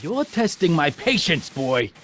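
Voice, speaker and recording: A man's voice: fairly high pitch, very animated, a little slow, fairly bright tone, slight background noise. An actor playing a brash, bullying American gangster boss in a video game, with sarcastic, domineering tough-guy talk and old-time slang. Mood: bossy, impatient.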